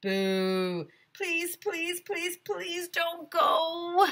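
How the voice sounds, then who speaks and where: Woman doing high, squealing, excitable schoolkids. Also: exaggerated voice. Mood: pleading. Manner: exclamatory, begging in drawn-out repeats. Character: excited schoolchildren, boys and girls, pleading and cheering. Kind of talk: children's storybook read-aloud.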